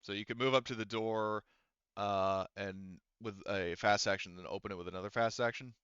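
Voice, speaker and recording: Male game master, lowish smooth voice, casual and sweary, improvising over slightly dull, dry audio. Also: steady tone, normal speed, fairly bright tone, dry recording. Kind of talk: improvised tabletop game narration over voice chat.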